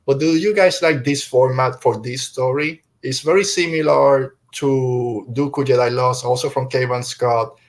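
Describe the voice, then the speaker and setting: A middle-aged man hosts a podcast in a casual, conversational way. He is a native Spanish speaker, with a medium-pitched voice, talking through a review. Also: steady tone, unhurried, few pauses. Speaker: podcast host.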